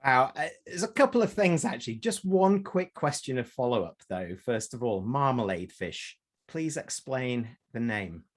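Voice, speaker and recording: A man hosting a podcast, reading introductions and putting questions in a measured, articulate presenter's manner. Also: medium pitch, expressive. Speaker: podcast host. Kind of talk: scripted podcast introduction and interviewing.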